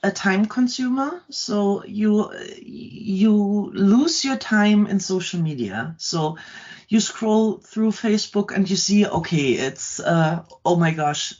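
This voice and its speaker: Slow, halting middle-aged woman. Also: medium pitch, animated, a little mellow, dull audio. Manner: halting, many ums and restarts. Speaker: guest, content creator. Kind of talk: casual interview chat.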